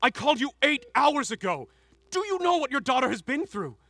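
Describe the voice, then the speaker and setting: A man's voice: high voice, normal speed, a bit bright, dry recording, studio quality. An actor playing a protective, embittered husband and father in an audio drama, with emotional delivery. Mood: angry, reproachful.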